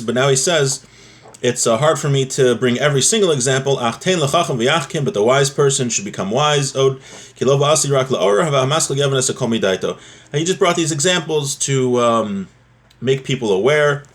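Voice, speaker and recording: A man's voice: medium pitch, a bit bright. A man giving an informal religious lesson, explaining a text in a conversational way and often checking in with the listener. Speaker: religious teacher.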